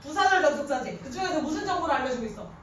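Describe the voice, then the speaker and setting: A woman in her thirties teaching a grammar class in an animated, informal way, often quizzing students with questions. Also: sits high, rapid-fire. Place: indoor classroom at a chalkboard. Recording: echoey classroom from a distance, faint noise.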